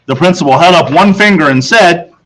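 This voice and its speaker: A man with a slightly high voice reads a story aloud to children. He narrates like a teacher with a class. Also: fairly quick, a bit bright, poor audio. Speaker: audiobook reader, teacher.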